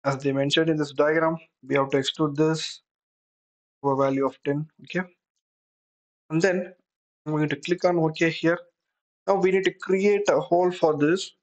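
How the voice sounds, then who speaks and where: A software tutor giving an unscripted screen-recorded tutorial, explaining step by step while thinking aloud. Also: mid-range voice, fairly even intonation, moderate pace, pauses often.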